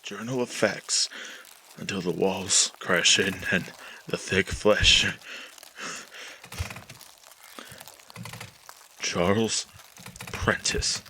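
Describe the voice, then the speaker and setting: Haunted chemist male actor, brooding radio-drama monologue: very slow, repetitive, hard-edged low voice, faint noise. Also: fairly even intonation, pushed and bright, exaggerated voice. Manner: halting, brooding, obsessively repeating words. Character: tormented, obsessive male chemist recalling his past. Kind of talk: 1940s radio horror monologue.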